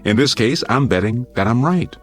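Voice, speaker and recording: An American actor playing a wry middle-aged doctor, mock-grumpy and gruffly affectionate, in a radio drama. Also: male voice, medium pitch, animated, moderate pace, faint music behind, lightly acted. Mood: wry, heartfelt.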